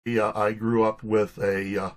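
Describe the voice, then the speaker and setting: Middle-aged male interview guest, lowish pitch, conversational storyteller with a down-home manner. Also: level tone, measured pace. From Pittsburgh, United States. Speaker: guest, pastor and author.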